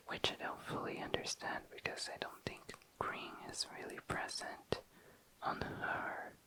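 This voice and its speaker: A young adult ASMR host whispering casual, tentative commentary, often self-correcting in an unhurried way. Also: bright, forceful tone, rough recording. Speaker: host of an ASMR makeup video. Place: indoors, tabletop close-up video.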